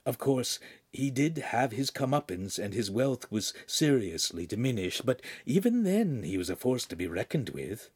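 Man playing a hushed, sly guide. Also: mid-range voice, very animated, normal speed, husky, voice pushed up. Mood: knowing. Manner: hushed, knowing, instructive. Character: sly, whispering guide coaching teenagers, dryly scheming.

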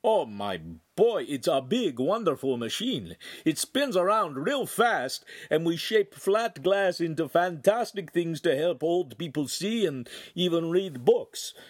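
A man voicing an old, eager male master craftsman who speaks slow, emphatic broken English. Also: a little high, sing-song, dry recording, studio quality, voice pushed up. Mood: excited.

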